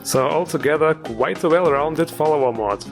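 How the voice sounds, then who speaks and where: A man with a fairly high-pitched voice hosts a gaming review. His scripted narration is playful and tongue-in-cheek, carried over background music. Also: moderate pace. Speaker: gaming video host.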